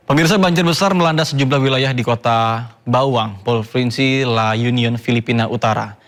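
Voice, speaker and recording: A man reading scripted news as an anchor in a formal, measured announcer delivery. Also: mid-range voice.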